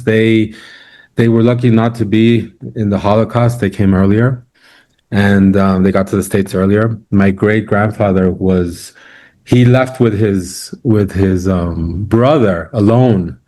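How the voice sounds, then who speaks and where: A man's voice: on the low side, mellow. A man from Ecuador speaking as a guest author in a video-call interview, hesitant and restarting his sentences often. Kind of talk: conversational interview.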